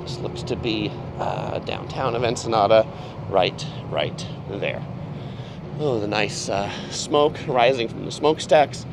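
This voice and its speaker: Man touring a ship, relaxed and chatty. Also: on the low side, measured pace, background noise. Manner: relaxed, with frequent ums and listing items. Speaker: cruise ship tour vlogger. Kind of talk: casual walking tour narration. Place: aboard a cruise ship, indoor and outdoor decks. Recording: clear music under the voice.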